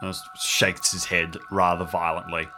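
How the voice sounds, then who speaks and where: Deep voiced guy player narrating his character's moves, kinda halting, music clearly underneath. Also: level tone. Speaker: player in an improvised audio drama. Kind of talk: tabletop roleplay, narrating his character's actions.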